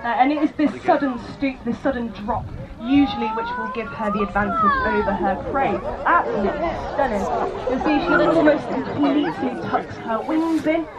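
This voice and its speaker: A woman's voice: high voice, very animated, moderate pace, few pauses, muffled recording. Woman commentating amid outdoor crowd noise. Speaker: falconry display presenter. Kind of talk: live commentary on a bird display. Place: outdoor display ground.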